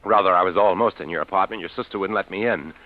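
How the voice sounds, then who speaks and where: An actor playing an anxious, obsessive neighbor who hesitates and stammers pleadingly in an old-time radio drama. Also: male voice, low-pitched, fast talker, no room sound, natural voice. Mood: apologetic. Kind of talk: golden-age radio drama acting. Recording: muffled old radio recording.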